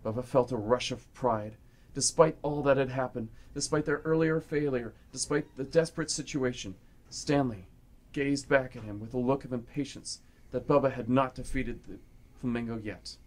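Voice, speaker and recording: A man's voice: medium pitch, normal speed. Man narrating a dramatic adventure story. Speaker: radio play narrator.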